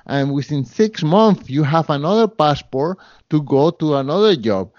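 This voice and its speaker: A man's voice: a little high, expressive, normal speed, darkish tone, dry recording, dull audio. A man with a Spanish accent, a guest in an interview, talking earnestly with many fillers and restarts. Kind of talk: interview conversation.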